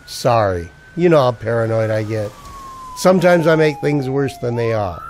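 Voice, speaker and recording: Male actor as haunted husband in a noir radio drama; mid-pitched, brooding, clipped hardboiled narration. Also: animated, moderate pace, darkish tone, slight background noise, lightly acted. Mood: anxious. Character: Peter, haunted married man narrating noir misfortune.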